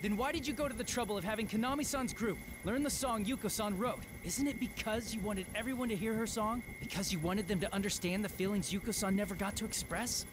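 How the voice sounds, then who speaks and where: A man's voice: sits high, fast, few pauses, background noise, lightly acted. A man playing a polite, earnest young man, urgent and sincere, in anime-style drama. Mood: pleading, insistent.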